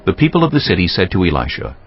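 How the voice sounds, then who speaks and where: A man narrating scripture from a script in a solemn, deliberate storytelling manner. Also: sits low, huge pitch swings, slightly rough, highs cut off, soft background music.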